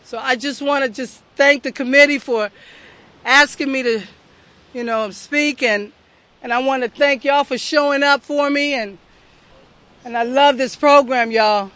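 Woman, young, heartfelt, addresses the crowd, bright and forceful tone. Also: sits high, a little slow. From southern United States. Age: young adult. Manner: heartfelt and earnest, speaks straight to the crowd. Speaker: speaker at a recovery meeting. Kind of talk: personal testimony to an audience.

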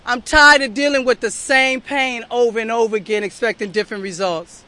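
A woman's voice: a little high, steady tone, fairly quick, bright, forceful tone. A young woman from the southern United States giving a heartfelt, earnest personal testimony at a recovery meeting, speaking straight to the crowd. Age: young adult.

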